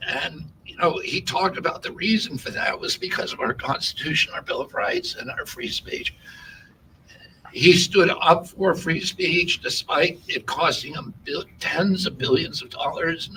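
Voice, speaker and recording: A man answering an interview question in halting speech, often breaking off and restarting his sentences. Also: fairly high pitch. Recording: distant mic with background noise.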